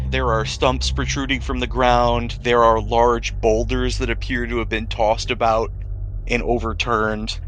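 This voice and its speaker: A man narrating a tabletop roleplaying game as game master, describing scenes conversationally with dramatic pauses. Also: mid-range voice, fairly bright tone, slight background noise, low-quality recording.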